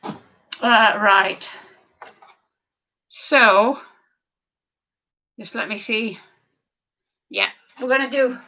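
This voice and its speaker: Croaky older woman leading a fitness class. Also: a little high, sharp tone. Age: over 50. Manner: counting reps, chatty asides. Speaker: fitness instructor. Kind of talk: leading a seated exercise class. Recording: distant mic, dull lo-fi sound.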